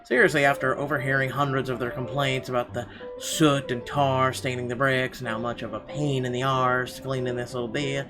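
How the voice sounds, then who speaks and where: A man with a medium-pitched voice reads a visual novel's narration aloud in the manner of a let's player. Game music plays clearly behind him. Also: little pitch movement, moderate pace.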